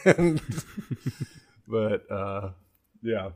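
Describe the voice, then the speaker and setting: A man's voice: on the low side, expressive, extremely slow, a little mellow. A man hosting a podcast in casual comedic chat, with a rambling, joking way of talking. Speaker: podcast host.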